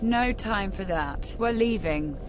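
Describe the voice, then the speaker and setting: An actress playing a terse, direct heroine in a fantasy video game. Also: female voice, medium pitch, expressive, takes it slowly. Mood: businesslike. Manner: curt, direct. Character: terse, businesslike heroine adventurer. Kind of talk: video game character acting.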